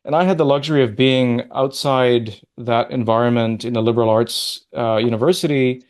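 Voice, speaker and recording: A man's voice: mid-range voice, steady tone, moderate pace. Thoughtful man on a video call. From Middle East (born in Qatar, raised in Oman). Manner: thoughtful, restarts and rambles while recalling. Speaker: guest, sociology professor. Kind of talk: conversational interview over video call.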